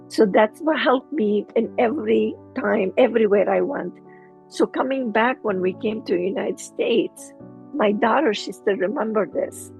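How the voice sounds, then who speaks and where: A middle-aged woman with a Lebanese accent, telling her personal story as an interview guest, halting as she searches for words. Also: a little high, gravelly, narrow, tinny audio.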